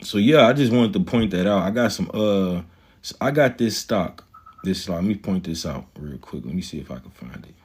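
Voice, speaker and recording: Man, lowish voice, cocky, profane, slangy stock talk to a live chat. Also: lively intonation, normal speed, darkish tone, slightly rough. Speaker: stock market livestreamer. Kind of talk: casual livestream chat about stocks.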